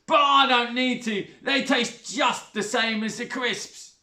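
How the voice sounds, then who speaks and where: Man playing a shrill, brash stepmother. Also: bright, forceful tone, recorded from a distance, full character voice. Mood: gushing. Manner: shrill, brash, drawn-out oohs. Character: loud coarse spiteful middle-aged stepmother. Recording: roomy echo.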